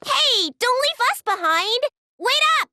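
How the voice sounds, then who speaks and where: Bright, lively, frank teenage girl. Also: very high voice, slow pace, slight background noise, full character voice. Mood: eager. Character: frank teenage girl, slightly cynical younger sister. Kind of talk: English anime game voice acting.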